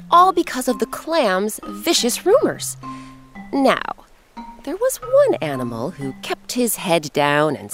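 Lively, low-voiced woman storyteller over music. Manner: emphatic, dramatic pauses. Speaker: host and narrator. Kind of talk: expressive scripted children's storytelling.

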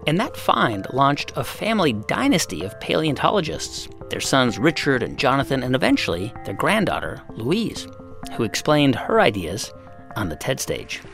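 A male podcast host narrating and explaining in a lively storytelling manner. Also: mid-range voice, normal speed, creaky, over music. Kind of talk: scripted narration and interview.

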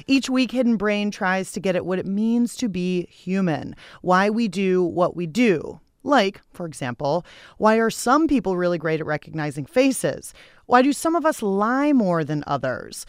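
A woman's voice: mid-range voice, no echo, clean studio sound. A woman hosting a radio show in a chatty, casual way, using slang and talking straight to listeners.

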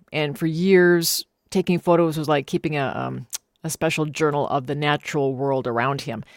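A woman's voice: low-pitched, a little mellow, no echo, clean studio sound. A middle-aged American woman hosting a radio program in a conversational interviewing style. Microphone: close studio microphone.

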